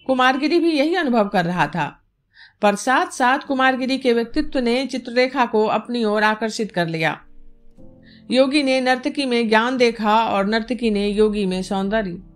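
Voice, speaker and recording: A woman narrating a literary audiobook in an expressive, deliberate storytelling manner. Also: sits high.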